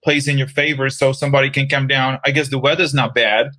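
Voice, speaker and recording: A man's voice: medium pitch, flat delivery, fairly quick, pushed and bright, dry recording. A man from the Republic, hosting a casual interview and talking hesitantly with many fillers. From Czech Republic. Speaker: podcast host.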